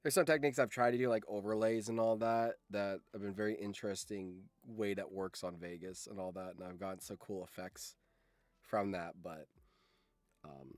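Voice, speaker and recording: A man with a fairly low voice and a dry, close sound. He chats casually as a co-host on technical editing matters, feeling his way through each thought. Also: steady tone, moderate pace, dry recording. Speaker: stream co-host commenting on editing. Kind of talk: casual tech chat.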